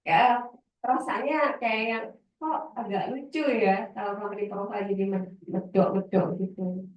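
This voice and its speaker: A woman's voice: mid-range voice, fairly even intonation, measured pace. A woman with a Javanese accent, a voice-over trainer giving a casual workshop talk, chatty and loose, often trailing off while searching for words. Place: library workshop room. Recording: roomy, distant, dull sound.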